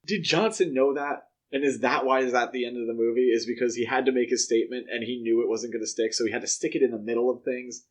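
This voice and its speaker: A man's voice: mid-range voice, fairly even intonation, fast, few pauses. A man co-hosting a podcast, casually discussing films in a conversational, explanatory way. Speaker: podcast co-host.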